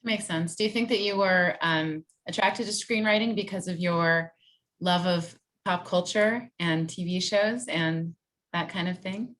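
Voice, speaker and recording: A woman librarian hosts a virtual author event and asks questions in a polite, conversational way. Her voice sits on the low side. She speaks over a video call. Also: unhurried, a bit bright. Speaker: librarian host.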